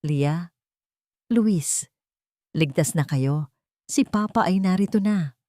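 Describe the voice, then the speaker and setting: A woman voicing a cold, stern wealthy father in an audio drama, calm and hard in delivery. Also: lowish voice, slow pace, no room sound, studio quality. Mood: protective.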